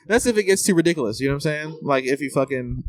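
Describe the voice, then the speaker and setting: A man's voice: a little high, lively intonation, a little fast, darkish tone. A man chatting casually as a comedy podcast panelist, informal and slangy in his way of talking.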